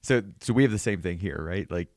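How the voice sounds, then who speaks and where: A man's voice: deep voice, expressive, fairly quick, darkish tone, no room sound, studio quality. A man from southwestern Pennsylvania with a western Pennsylvania accent, hosting a casual interview in a friendly, chatty way. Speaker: podcast host.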